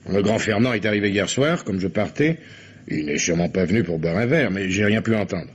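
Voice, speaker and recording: An actor playing an earnest, disgusted undercover policeman with a soft heart in an old French radio crime drama, speaking deferentially in a husky voice. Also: on the low side, expressive, brisk. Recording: dull lo-fi old radio recording.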